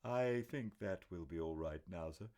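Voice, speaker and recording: A man's voice: deep voice, a little slow, darkish tone. A man voicing a stiff, proper older butler who speaks with formal, deferential politeness.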